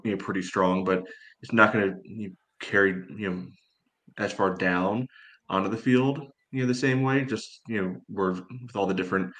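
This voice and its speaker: A man giving casual sports analysis in a halting, measured way, padding his sentences with filler phrases. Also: deep voice, a bit bright. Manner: halting, measured, peppered with "you know". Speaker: sports podcast panelist.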